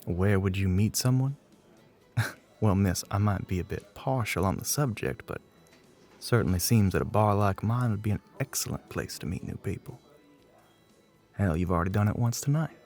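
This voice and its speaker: A man playing a flirty, middle-aged bartender with a Southern US accent and a low, gravelly voice, teasing in a folksy way in an ASMR roleplay. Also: normal speed, a little mellow, soft background music. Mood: wry, teasing. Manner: drawling folksy terms of endearment, teasing.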